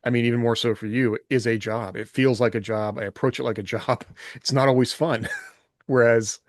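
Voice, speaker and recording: A man's voice: medium pitch, fairly even intonation, moderate pace, dry recording, clean studio sound. A man co-hosting a podcast, talking casually in an earnest, conversational manner. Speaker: podcast co-host and writer. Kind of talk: casual chat.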